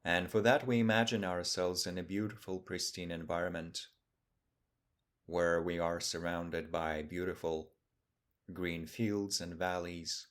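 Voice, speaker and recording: A deep-voiced man teaches a guided meditation. He speaks in a calm, measured way, setting out short phrases one at a time. Long pauses separate each instruction.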